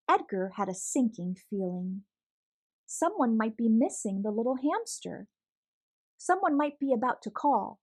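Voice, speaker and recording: Lively woman reading a children's storybook. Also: on the high side. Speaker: read-aloud storyteller. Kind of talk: expressive children's picture book narration.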